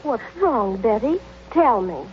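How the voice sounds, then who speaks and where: An actress playing a sympathetic young newlywed friend in an old radio advertisement, reassuring and salesy. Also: female voice, fairly high pitch, sing-song, slow. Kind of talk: 1930s-40s radio advertisement acting. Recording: muffled old radio recording with noise.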